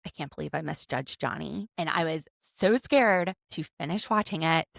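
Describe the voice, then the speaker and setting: A woman in her thirties hosting a casual podcast from home, chatty and quick to laugh as she picks apart a reality show. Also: low voice, gravelly, dry recording, studio quality. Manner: chatty, laughs often, overanalyzing. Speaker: podcast host, attorney.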